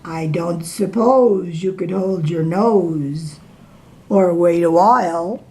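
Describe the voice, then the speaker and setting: A woman voicing a sad, polite crocodile who asks softly and hesitantly. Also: lowish voice, slow, pitched below the performer's own voice. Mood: wistful. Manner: soft, hesitant asking.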